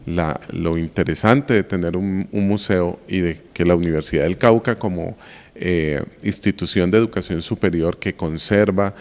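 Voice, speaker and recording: A man's voice: sits low, normal speed, a little mellow, in a large hall. A man in his forties, a university lecturer, giving reflective interview answers and checking in with the listener as he explains. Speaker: university lecturer and museum guide. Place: indoor room of a colonial house museum. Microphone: handheld mic held by interviewer.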